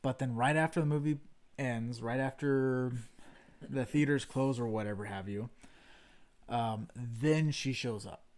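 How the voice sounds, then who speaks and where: A man's voice: medium pitch, unhurried, a little mellow. A man co-hosting a casual livestream chat, telling a long story in a rambling, self-deprecating way. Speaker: stream co-host. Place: home livestream setup.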